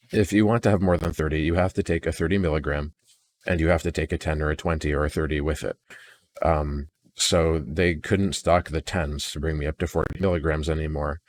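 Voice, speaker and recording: Man, very deep pitch, weary introspective delivery, halting repeated words, unscripted solo audio log. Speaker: audio diarist.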